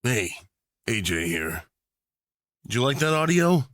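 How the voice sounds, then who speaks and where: A man's voice: mid-range voice, big pitch swings, unhurried, a bit bright, no room sound, studio quality. A man delivering scripted narration and channel talk as the creator of an audio roleplay.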